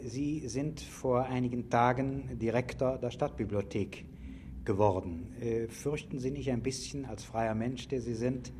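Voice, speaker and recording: Formal male interviewer, medium pitch, faint noise. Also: a little mellow. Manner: polite, formal. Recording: noticeable background noise, old interview recording.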